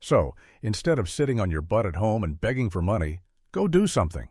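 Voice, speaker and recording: A man's voice: fairly low pitch, very animated, fairly quick, a little mellow, breathy edge, no echo, clean studio sound. A man hosting a scripted satirical interview, blunt, sarcastic and confrontational in his retorts. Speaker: host, mock interviewer.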